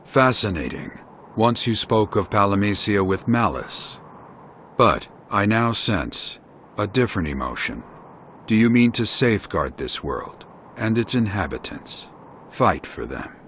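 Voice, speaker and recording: A man playing a weary, wise old warrior in a video game, speaking in measured, formal phrases. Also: lowish voice, lively intonation, a bit bright, a little hiss or hum. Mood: solemn, probing. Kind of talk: scripted video game voice acting.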